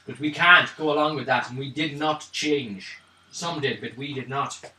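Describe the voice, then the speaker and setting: A man voicing a weary, earnest elf prisoner in a tabletop role-play, solemn and measured, in a roomy recording. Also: medium pitch, bright, forceful tone. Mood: grave, weary. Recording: roomy, distant mic, faint noise.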